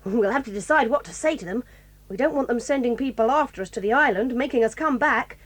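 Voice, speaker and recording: A woman's voice: on the high side, quick pace, natural voice. An actress playing a bold, stubborn tomboy girl who speaks confidently and decisively.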